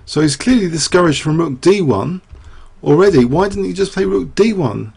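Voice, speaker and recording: A man's voice: on the high side, expressive, normal speed, vocal fry, darkish tone, husky. A man analyzing a chess game aloud, losing his voice, rambling through rhetorical questions with many fillers. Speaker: chess commentator.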